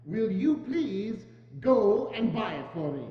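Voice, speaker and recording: A man playing a reformed, giddy old miser who stammers excitedly in a theatrical dramatic reading. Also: sits high, slow pace, music in the background, heavily acted. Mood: giddy joy. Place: church, community dramatic reading. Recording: echoey church hall, muffled.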